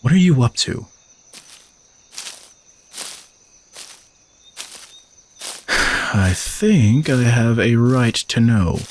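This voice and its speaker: A man playing an arrogant, teasing young knight bodyguard who is gruffly dutiful, in an audio roleplay spoken to the listener. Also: mid-range voice, a little hiss or hum, natural voice. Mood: puzzled, impatient.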